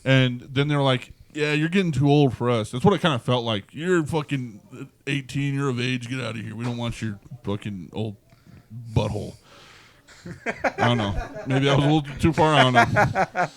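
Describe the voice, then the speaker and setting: A man's voice: mid-range voice, normal speed. A man in his early thirties hosting a podcast, chatting casually and bluntly with plenty of swearing and slang. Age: early 30s. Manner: profane, blunt, peppered with slang. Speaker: podcast host. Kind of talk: casual chat.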